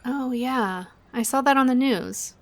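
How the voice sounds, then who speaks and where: A woman's voice: sits high, unhurried. A woman acting as a troubled, guarded young woman who stays polite, in naturalistic audio drama dialogue. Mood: polite, wary.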